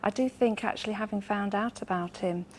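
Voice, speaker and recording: A woman's voice: mid-range voice, brisk. A woman talking conversationally as a guest in a book discussion, with faint background noise. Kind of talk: conversational opinion.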